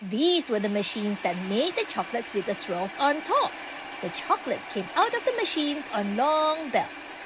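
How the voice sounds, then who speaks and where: A woman reading a children's book aloud in an expressive, animated way, drawing out key phrases for young listeners. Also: fairly high pitch, moderate pace, background noise. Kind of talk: expressive storybook read-aloud.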